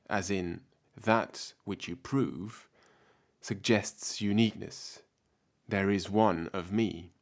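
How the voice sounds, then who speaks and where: A man from France, raised speaking French and German, with a French-German accent, delivering a measured, reflective scripted talk as a researcher. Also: deep voice, vocal fry. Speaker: PhD researcher giving a talk. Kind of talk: scripted spoken essay.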